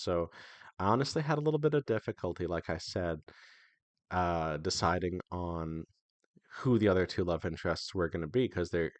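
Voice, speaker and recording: Low-voiced man giving a casual devlog. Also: no echo. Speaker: indie visual novel developer and devlog host.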